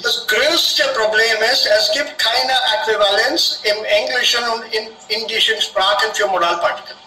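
A man's voice: sits high, normal speed, pushed and bright, echoey room, distant mic, soft background music, rough recording. A man, a professor whose first language is Indian, talking hesitantly in a discussion group, often repeating and restarting words. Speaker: guest professor. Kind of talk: online discussion group conversation. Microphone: video call.

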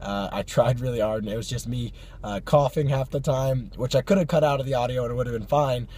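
A hoarse young man with a cold, chatting casually to camera from his parked car at night, with many fillers and small laughs. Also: medium pitch, quick pace, a little mellow. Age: young adult. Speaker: vlog host. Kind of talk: casual car vlog chat. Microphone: phone or camera on the dashboard.

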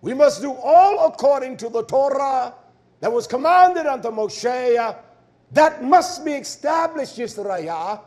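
A man's voice: extremely high pitch, lively intonation, slow pace, a little mellow. A man delivering a fiery verse-by-verse sermon as a preacher, stern and combative, repeating key words for emphasis. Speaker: preacher and Torah teacher.

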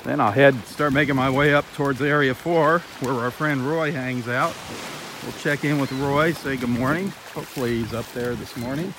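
Man's casual voice over loud outdoor noise. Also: medium pitch, steady tone, moderate pace. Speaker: host of a live beach walk. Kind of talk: casual live nature vlog narration. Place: outdoors on a sandbar at a beach. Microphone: handheld phone camera. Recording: noisy outdoor audio with lapping water.

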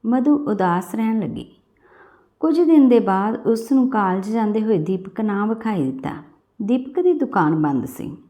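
A woman's voice: medium pitch, animated, measured pace, warm tone. A woman reading a scripted story as an audiobook-style narrator.

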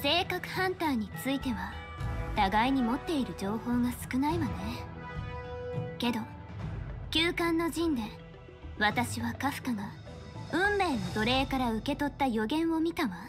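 An actress playing a stern young fortune-teller official in a game, speaking in a formal, measured, authoritative way. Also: female voice, high voice, expressive, over music. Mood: analytical, composed. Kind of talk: scripted game character dialogue in Japanese dub.

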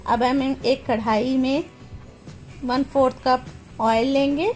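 A woman with a slightly high voice walks the viewer through a recipe from her home kitchen. Her delivery is plain and homely, with each step explained patiently. Soft music plays faintly under her voice. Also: steady tone. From Pakistan. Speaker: cooking channel host. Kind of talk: step-by-step cooking instruction.

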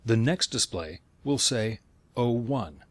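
A man reading scripted instructional narration in a measured, step-by-step way. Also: mid-range voice, lively intonation, no echo.

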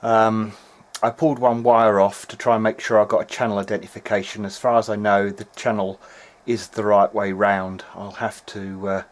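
A man's voice: lowish voice, level tone, moderate pace. A middle-aged man talking through a repair in a hesitant, meandering, matter-of-fact way, as in a video diary. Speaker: electronics repair hobbyist. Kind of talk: video diary, thinking aloud while working. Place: indoor workbench.

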